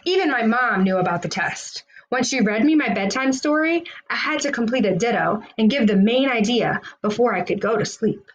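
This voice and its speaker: A woman's voice: a little high, expressive, moderate pace, hardly any pauses, dull audio, a little hiss or hum. A woman reading a children's storybook aloud, narrating expressively in the words of a school kid. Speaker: children's read-aloud host.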